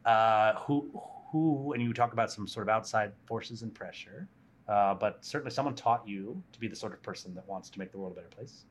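A man's voice: on the low side, fairly quick. A man hosting a podcast interview at a conference, asking friendly, curious questions. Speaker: podcast host. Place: conference venue.

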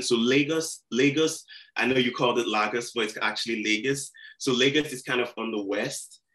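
A man's voice: on the low side, bright, forceful tone, poor audio. Laid-back man in his twenties. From Nigeria. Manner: laid-back, slangy storytelling. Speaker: guest rapper. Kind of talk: casual podcast interview.

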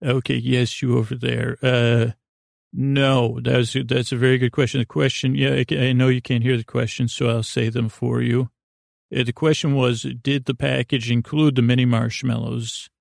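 A man hosting a podcast with a soothing, creaky voice, rambling in a halting, silly, whimsical way as if for bedtime. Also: medium pitch, level tone, no room sound, studio quality. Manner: meandering, halting, silly and goofy. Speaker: podcast host. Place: podcast studio.

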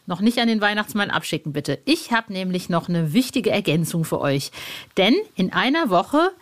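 A woman presenting a podcast in a chatty, excited, informal way, sprinkling in slang and English phrases. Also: medium pitch, normal speed. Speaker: podcast host.

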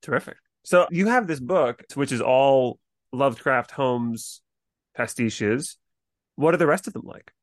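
A man's voice: medium pitch, expressive, a little slow, no room sound. A man hosting a podcast, casually asking questions and steering the discussion. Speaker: podcast host. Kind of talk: casual interviewing.

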